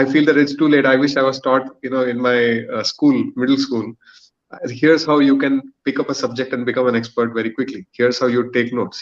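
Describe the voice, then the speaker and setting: A man's voice: mid-range voice, a bit bright. Man in his thirties, dull lo-fi audio. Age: 30s. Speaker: podcast guest. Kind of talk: thoughtful panel discussion answer.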